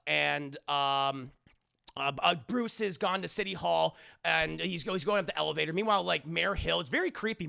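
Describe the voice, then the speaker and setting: A man streamer giving a lively, profane scene-by-scene recap to a live chat, acting out bits and sound effects. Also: on the high side, vocal fry. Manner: excitable, profane, acts out characters and sound effects. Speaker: streamer and animation reviewer.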